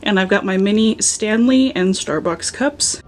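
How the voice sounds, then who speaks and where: A woman's voice: medium pitch, measured pace, faint music behind. Playful young woman touring her room. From Philadelphia, USA. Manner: playful, affectionate, dry jokes about her toys. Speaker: collector giving a room tour. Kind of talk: casual home tour narration. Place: home sewing room and office, indoors.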